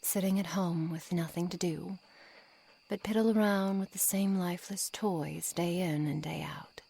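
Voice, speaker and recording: Woman performing witch, ASMR roleplay, lowish breathy voice, very unhurried, formal, condescending, coolly controlling, often near whisper. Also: steady tone, dark tone, slight background noise. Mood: brooding, disdainful. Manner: slow, formal, deliberate, condescending. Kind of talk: ASMR roleplay, scripted monologue to the listener.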